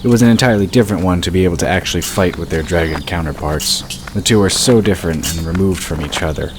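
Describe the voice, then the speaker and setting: A male narrator with a very deep voice tells the story in a steady, even way. The reading is scripted and calm. A layer of noise sits under the voice. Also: fast talker. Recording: noisy home recording.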